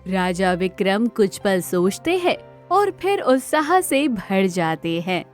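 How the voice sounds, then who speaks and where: A woman's voice: medium pitch, very animated, slow, faint music behind. A woman narrating a scripted children's moral story as the storyteller.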